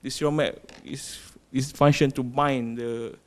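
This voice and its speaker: A man giving a technical product presentation, halting and repeating words as he explains a process. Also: medium pitch, fairly even intonation. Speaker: company presenter.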